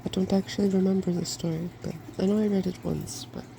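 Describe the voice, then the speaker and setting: A softspoken ASMR host reading a fairy tale from a storybook in a gentle, quiet way. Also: mid-range voice, fairly even intonation, fast. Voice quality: soft-spoken. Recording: clearly noisy background.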